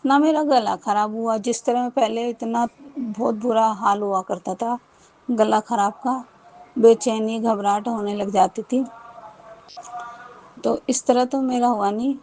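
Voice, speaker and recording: A woman tells a personal devotional story in a fairly high voice. She rambles, restarts her sentences often and repeats her thanks again and again. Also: fairly even intonation, unhurried, darkish tone, a little hiss or hum, low-quality recording. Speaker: devotee sharing a testimony. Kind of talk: personal testimony.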